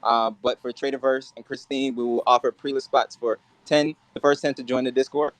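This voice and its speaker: A man pitching his project in a casual live audio chat, loose and relaxed, with many fillers. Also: a little fast, no echo.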